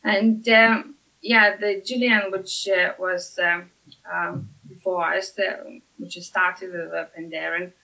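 A woman from Lithuania with a Lithuanian accent, speaking as a podcast guest with many halting "uh" fillers. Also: fairly low pitch, flat delivery, gravelly, bright, forceful tone, low-quality recording. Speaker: master blender at a whisky distillery.

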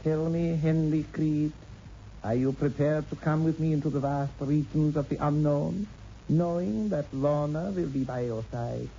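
An actor playing an imperious, scornful occult mastermind, pompous and formal, in an old-time radio horror play. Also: male voice, fairly high pitch, fairly even intonation, a little slow, gravelly, dark tone. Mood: suave, commanding. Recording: muffled, hissy old radio recording.

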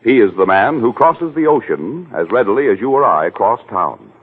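A man's voice: lowish voice, lively intonation, normal speed, noisy background. An American man narrating a 1940s radio drama in a dramatic, declamatory style. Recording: old narrow-band 1940s radio, reverberant, music.

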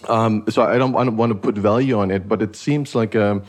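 A man's voice: lowish voice, fairly quick. A man from Eastern Germany hosting a podcast, talking things through in a thoughtful, rambling way with frequent restarts. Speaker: podcast host. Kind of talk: casual conversational podcast.

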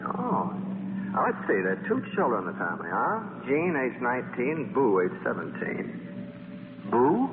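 Sardonic, animated wisecracking writer. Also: male voice, mid-range voice, unhurried. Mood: sardonic, grumbling. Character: wisecracking, cynical, flirtatious male feature writer. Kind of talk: 1940s radio acting. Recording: muffled, noisy 1940s radio recording, reverberant.

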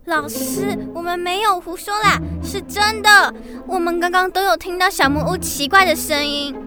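A woman's voice: very high voice, moderate pace, loud music behind the voice. A woman voicing an earnest, easily frightened primary school child in a children's radio drama, stammering and pleading. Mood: insistent, scared. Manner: stammering, pleading, breathless urgency.

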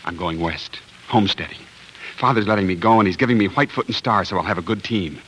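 An American actor playing an earnest, awkward young farmer in love, plain-spoken and halting, in a 1940s radio drama. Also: male voice, low voice, a bit bright, rough recording. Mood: nervous, eager. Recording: hissy old 1940s radio recording.

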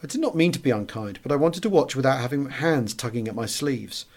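A man's voice: mid-range voice, expressive, fairly quick, a little mellow. A man narrating a wartime memoir as a scripted audiobook reading.

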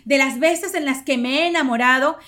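A woman's voice: sits high, brisk, pushed and bright. A woman in her forties hosting a podcast, telling stories in a chatty, playful way and laughing often. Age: 40s. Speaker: podcast host. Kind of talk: casual storytelling monologue.

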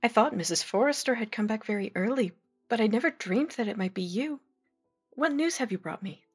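Period heroine, female actor, young, sweet and modest, soft husky-breathy tone, music behind, audio drama. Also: fairly high pitch, animated, fairly quick. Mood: surprised, pleased. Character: gentle young Victorian lady, sweet, modest.

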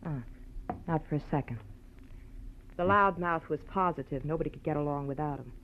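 A woman's voice: low voice, a little fast, natural voice. An actress playing a brassy, flirtatious, wisecracking woman in 1940s radio noir style. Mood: sardonic, flirty. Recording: muffled tinny old radio recording, faint noise.

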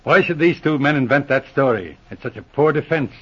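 Husky, steady Mountie sergeant. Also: male voice, mid-range voice. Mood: thoughtful, puzzled. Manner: deliberate, reasoning, businesslike. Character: steady, thoughtful, kindly Mountie police sergeant. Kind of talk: 1940s radio acting. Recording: muffled vintage radio recording.

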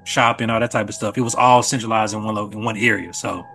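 A man's voice: fairly low pitch, fairly even intonation, quick pace, gravelly, fairly bright tone, soft background music. A man chatting casually on a podcast, explaining things in a conversational way with plenty of filler tags. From Little Rock, Arkansas, USA. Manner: explanatory, peppered with "man" and "you know". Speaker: podcast speaker. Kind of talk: casual chat.

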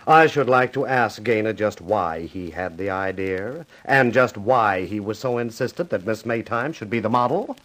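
An actor playing a haughty, cunning executive villain in a 1950s radio crime drama, speaking formally and precisely. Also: male voice, fairly low pitch, lively intonation, normal speed, hardly any pauses, a bit bright, poor audio. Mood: accusing.

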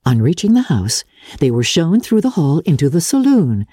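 A woman narrator giving a dramatic, measured reading of classic literature in a formal, expressive storytelling manner, with a husky voice. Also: deep voice, dry recording, clean studio sound. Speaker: audiobook narrator.

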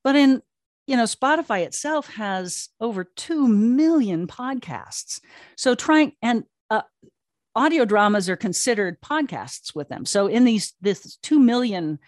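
A woman's voice: on the high side, big pitch swings, a little slow, no room sound, studio quality. A woman speaking as an interview guest, answering conversationally and telling stories with emphatic repetition. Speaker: guest, audio drama platform co-founder.

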